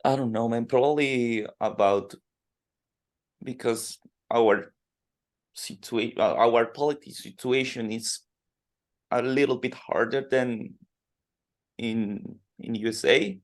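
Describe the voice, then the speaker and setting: A man from Colombia interviewing a musician, asking slow, halting questions full of fillers. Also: medium pitch. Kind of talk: podcast interview in second language.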